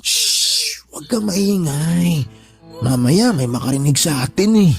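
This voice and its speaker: A man's voice: sits high, wildly swooping pitch, measured pace, creaky, soft background music, exaggerated voice. A man playing a drunk, defiant young son of the household in a radio drama, sneering, insulting and blaming others. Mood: hushed, threatening. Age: young adult. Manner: sneering, insulting, blame-shifting. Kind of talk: Tagalog radio drama acting.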